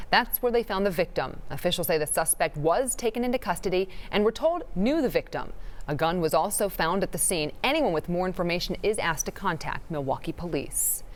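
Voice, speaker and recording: American lady news anchor, really animated pitch, reading the script.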